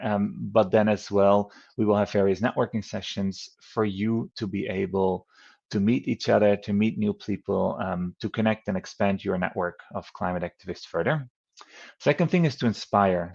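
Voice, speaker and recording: Male moderator from the Netherlands, 30s, low gravelly voice, friendly and rambling, muffled video-call sound. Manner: friendly, rambling with many fillers. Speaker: event moderator. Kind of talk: online event hosting.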